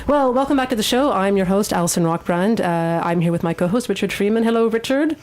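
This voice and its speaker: A woman's voice: medium pitch, expressive, quick pace, a little mellow. A woman presenting a radio show in a casual, unscripted style. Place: radio studio.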